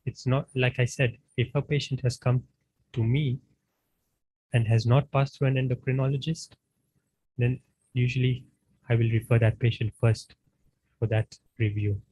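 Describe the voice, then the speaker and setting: A doctor speaking at a panel, faint noise. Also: male voice, medium pitch, steady tone, moderate pace, long pauses, a little mellow, poor audio. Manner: thoughtful, many fillers and restarts. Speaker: doctor, surgeon. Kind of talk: panel discussion answer.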